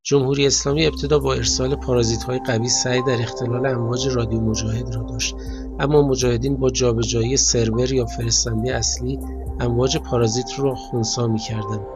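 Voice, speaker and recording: A man with an Iranian Persian accent narrating a scripted documentary in a measured, formal storytelling manner. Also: mid-range voice, lo-fi sound. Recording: music bed under the voice.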